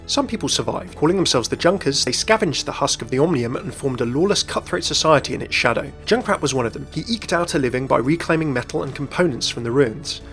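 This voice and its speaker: Fast-talking male gaming narrator, music underneath. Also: mid-range voice, a bit bright. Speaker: video presenter and narrator. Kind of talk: scripted explainer narration for gaming video.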